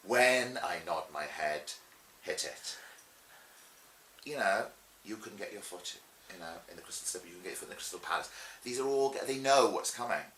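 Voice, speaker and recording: A man's voice: sits low, lively intonation, normal speed, fairly bright tone. A middle-aged man telling anecdotes in an interview as a pantomime performer, chatty, theatrical and emphatic. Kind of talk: animated anecdotal interview talk. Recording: roomy echo with faint hiss.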